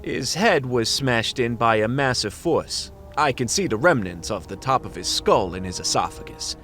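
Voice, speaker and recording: Goblin private detective male actor, semi-retired, hardboiled radio noir, brooding and wry, very lively intonation. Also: medium pitch, moderate pace, soft background music, lightly acted. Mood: analytical. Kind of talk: hardboiled radio play narration and dialogue.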